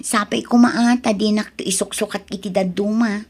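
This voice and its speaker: A woman's voice: mid-range voice, fairly even intonation, moderate pace, slight background noise, close to an everyday voice. A woman with an Ilocano Filipino accent playing a defiant, pleasure-seeking young daughter in an audio drama, pleading and whining emphatically. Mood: coy. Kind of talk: radio drama acting.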